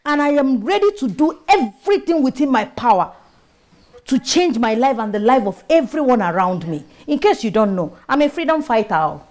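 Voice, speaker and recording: A woman's voice: sits high, very animated, moderate pace, darkish tone. An actress playing a proud, outspoken young woman mechanic, with a Nigerian accent and an assertive manner that slips into Pidgin. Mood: proud, defiant. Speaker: actor in a film drama.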